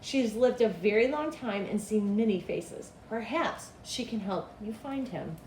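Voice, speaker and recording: A woman's voice: mid-range voice, animated, unhurried, darkish tone, close to an everyday voice. A woman voicing a helpful male street ice vendor from a storybook in a plain manner. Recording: echoey room with background noise.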